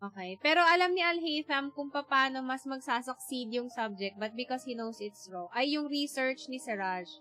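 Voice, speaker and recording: A woman's voice: high-pitched, normal speed, few pauses, pushed and bright, faint music behind. A woman with a Filipino accent giving casual live game commentary as a streamer, switching between languages.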